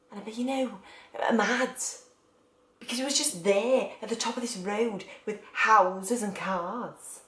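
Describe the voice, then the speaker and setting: Woman acting lonely wistful lady in a stage monologue, slow with lots of pauses, echoey room. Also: mid-range voice, lightly acted. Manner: deliberate, broken into short phrases with pauses. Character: lonely, wistful woman confiding in an absent loved one.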